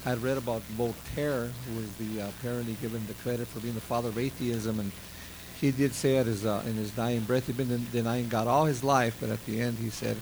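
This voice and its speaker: A man in the congregation offering a comment in a halting way, heard from across the room. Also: medium pitch, steady tone, vocal fry. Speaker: congregation member. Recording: distant, with noticeable room noise.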